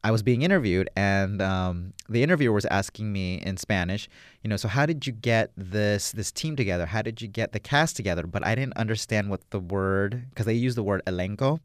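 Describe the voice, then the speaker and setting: Man, film director, enthusiastic, rambling, lots of fillers, smooth, dry close sound. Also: fairly low pitch, a little fast, no room sound. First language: English. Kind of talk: casual interview answers.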